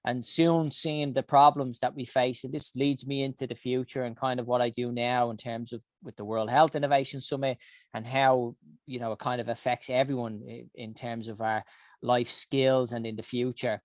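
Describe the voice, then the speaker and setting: A man's voice: mid-range voice, level tone, moderate pace, no echo, clean studio sound. A man in his early forties with a Dublin Irish accent, giving an earnest personal talk about his career, with many ums and uhs. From Ireland. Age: 40s. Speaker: health summit founder.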